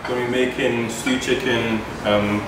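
A man's voice: mid-range voice, little pitch movement, measured pace. A young man chatting casually as he cooks, explaining each step. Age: young adult. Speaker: young footballer cooking. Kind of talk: casual cooking vlog chat. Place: large modern home kitchen. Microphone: far camera mic. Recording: echoey kitchen, distant mic, noisy.

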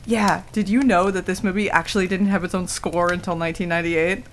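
A woman's voice: fairly low pitch, steady tone, brisk, close to an everyday voice. Woman acting a prickly werewolf girl, noisy background. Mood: enthusiastic. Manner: casual, defensive, stammering when embarrassed, swears. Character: prickly, easily flustered tsundere female werewolf. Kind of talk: intimate ASMR roleplay acting. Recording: clearly audible background noise.

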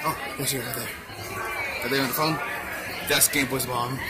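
A man voicing a goofy, excitable boy puppet who chats and exclaims in a casual vlog. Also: mid-range voice, moderate pace, heavy background noise, lightly acted. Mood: chatty. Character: goofy excitable boy puppet hosting his own show. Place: busy outdoor theme park and its shops. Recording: loud crowd and music, handheld phone.

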